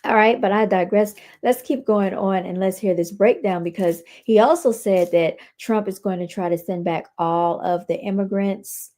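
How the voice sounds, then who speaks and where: A woman's voice: mid-range voice, moderate pace, few pauses, warm tone. An American woman hosting a reaction show, giving casual, opinionated commentary and repeating her points for emphasis.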